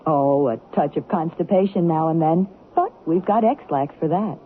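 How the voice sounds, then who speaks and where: A woman's voice: sits low, normal speed, lightly acted. A woman playing a cheerful, folksy, conversational customer in a radio advertisement. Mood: contented. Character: cheerful, folksy woman giving a family health testimonial. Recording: tinny old radio with faint noise.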